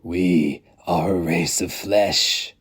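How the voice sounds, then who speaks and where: A man voicing an ancient, weary but fanatical male alien engineer, chanting slowly in a strained, otherworldly voice. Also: low voice, steady tone, breathy edge, heavily acted. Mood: chanting, fervent. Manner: slow chanting incantation. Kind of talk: dramatic audiobook reading.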